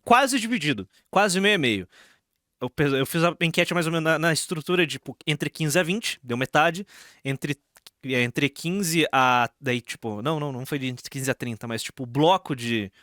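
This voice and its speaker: Brazilian male streamer, fairly high voice in a dry, close recording, reacting off the cuff with slang and repetition. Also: normal speed, fairly bright tone, no echo. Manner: slangy, repeats phrases, sarcastic asides. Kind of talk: casual live reaction commentary.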